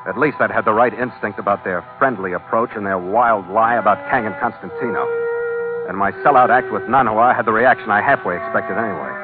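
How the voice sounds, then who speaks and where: A man's voice: lowish voice, brisk, natural voice. An actor playing a tough, wry American sea captain, narrating his adventure tersely in a vintage radio drama. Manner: terse, wry, hardboiled storytelling. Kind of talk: 1940s-50s radio adventure narration and acting. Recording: tinny vintage radio recording with music.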